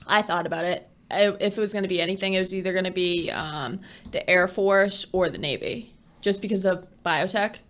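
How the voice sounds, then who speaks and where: A woman's voice: fairly low pitch, fairly quick, a bit bright. Hesitant young woman, podcast guest. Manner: hesitant, many ums and likes. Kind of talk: casual chat.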